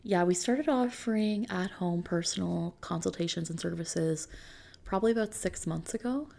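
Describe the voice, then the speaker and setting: A woman speaking softly and often near a whisper in an ASMR roleplay, gently reassuring like an attentive consultant. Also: on the low side, normal speed, creaky. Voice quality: soft, hushed, often slipping into whispers. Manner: gentle, reassuring, attentive client-service talk. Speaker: ASMR roleplay performer playing a customization consultant. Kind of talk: soft-spoken ASMR roleplay.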